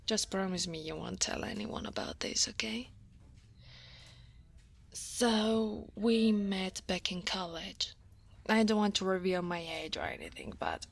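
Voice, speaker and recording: Woman playing a breathy, weary dragon coworker. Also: lowish voice, exaggerated voice. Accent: Italian. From Italy. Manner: soft, tired, half-whispered. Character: weary, guarded female dragon office coworker. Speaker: ASMR roleplay performer. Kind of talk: whispered ASMR roleplay.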